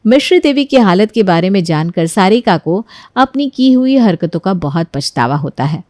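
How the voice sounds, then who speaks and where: A female storyteller delivers scripted narration. Her pitch sits in the middle of the female range, and the recording is dry, with hardly any room. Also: lively intonation, moderate pace, darkish tone, no echo.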